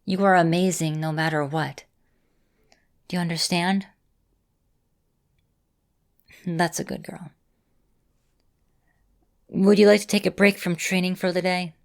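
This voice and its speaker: A woman playing an affectionate vampire mistress in an ASMR roleplay, speaking in a gentle, reassuring and softly teasing manner. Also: fairly low pitch. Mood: tender, reassuring. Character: affectionate centuries-old female vampire mistress, encouraging mentor.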